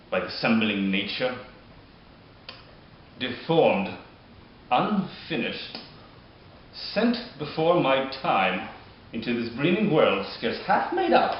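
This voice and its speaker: A man performing a bitter, scheming deformed nobleman in a modern-style Shakespeare monologue, speaking deliberately with dramatic pauses. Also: medium pitch. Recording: dull, very echoey room, background hiss.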